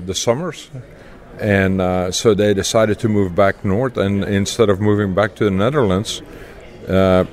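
An older man from Belgium with a Belgian accent, speaking haltingly with many fillers as an interview guest. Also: on the low side, a little mellow. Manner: halting, many uhs, chuckles. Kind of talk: casual interview chat.

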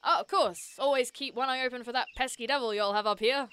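An actress playing a brash, sarcastic young woman in an audio drama, slangy and quick to swear and tease. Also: a little high, a little hiss or hum, close to an everyday voice. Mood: breezy. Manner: slangy, swearing, teasing, excitable. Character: brash, foul-mouthed, sarcastic young woman on a monster hunt.

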